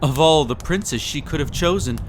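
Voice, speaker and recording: A man's voice: a little high, expressive, normal speed, noisy background, lightly acted. A man playing a possessive, smug prince in a romantic audio roleplay, with a silky, condescending, theatrical delivery. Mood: smug, doting. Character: possessive, smug young prince with hypnotic magic.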